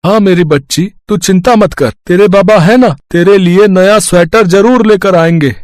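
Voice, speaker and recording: A man playing a warm-hearted village man, reassuring and enthusiastic, in an audio story. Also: high-pitched, moderate pace, no room sound, clean studio sound, natural voice.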